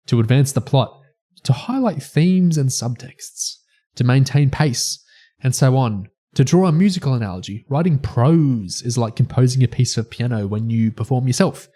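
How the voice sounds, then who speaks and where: A man co-hosting a podcast discussion, speaking haltingly, phrase by phrase. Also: medium pitch, warm tone. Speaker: podcast co-host.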